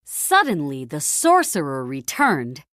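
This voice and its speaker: A woman reads a children's story aloud as its narrator. Her delivery is lively and melodic, moving at a measured, slightly slow pace. She leans on key phrases for dramatic emphasis, and the sound is dry with no room echo. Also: sits low.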